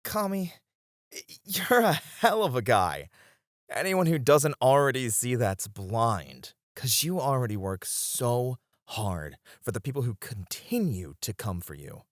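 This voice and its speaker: A man playing a flirty, supportive club host in an ASMR roleplay, speaking in a gentle, reassuring way. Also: mid-range voice, big pitch swings, unhurried, no room sound, studio quality. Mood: earnest reassurance. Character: flirty, supportive male club host comforting his friends.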